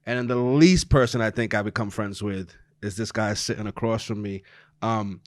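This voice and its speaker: A man from New York City hosting a podcast in a small studio, chatting casually into a boom mic and laughing easily. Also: normal speed, no echo. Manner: relaxed, laughs often, loose run-on questions. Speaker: podcast host. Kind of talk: casual interview chat. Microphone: studio mic on boom arm, headphones.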